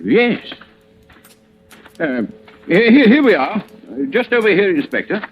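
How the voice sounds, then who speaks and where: A polite flustered gent, animated voice, dull old radio recording with faint music. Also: male voice, high-pitched, slow pace, slightly rough, full character voice. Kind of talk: golden-age radio drama acting.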